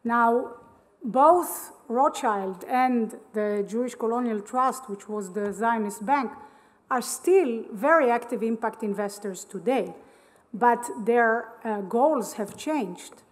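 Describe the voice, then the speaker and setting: Middle-aged woman from israel at a conference, lots of ums, a bit high voice, long hall echo. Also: slow pace. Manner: hesitant, many ums and uhs. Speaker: conference speaker. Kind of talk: conference talk.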